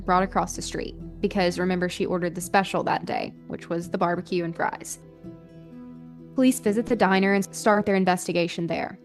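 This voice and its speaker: A woman hosting a podcast, telling a true-crime story in a conversational, colloquial way. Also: fairly low pitch. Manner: casual, colloquial phrasing with frequent "like". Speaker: podcast host.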